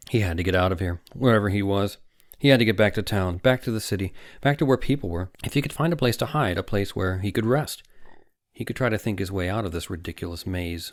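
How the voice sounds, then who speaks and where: A male audiobook narrator with a low voice reads fiction dramatically. His phrasing is deliberate and suspenseful, and the recording is close and dry. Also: no echo.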